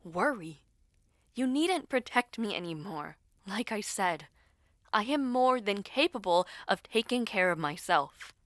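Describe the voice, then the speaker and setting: A woman's voice: medium pitch, lively intonation, measured pace, dry recording, voice pushed up, lightly acted. A defiant young prince, played by a woman in a dramatic audio story. The boy is proud, hot-headed and scornful, heard in a dry, close recording. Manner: scornful, challenging.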